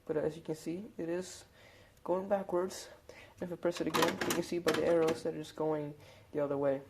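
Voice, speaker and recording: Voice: mid-range voice, level tone, brisk, gravelly, very noisy. A hobbyist presenter giving a casual hands-on demonstration, explaining things informally step by step.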